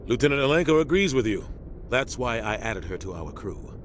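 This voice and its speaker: An actor playing a seasoned, loyal military starship captain in a video game, grave and measured with authority. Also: male voice, medium pitch, very animated, lightly acted. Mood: firm concern. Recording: clear engine-like ship noise behind.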